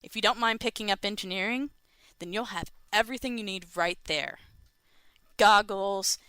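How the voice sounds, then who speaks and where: A woman's voice: mid-range voice, normal speed, bright, forceful tone, smooth, dry recording. A woman hosting an informal scripted advice segment for a podcast, playfully addressing the listener directly.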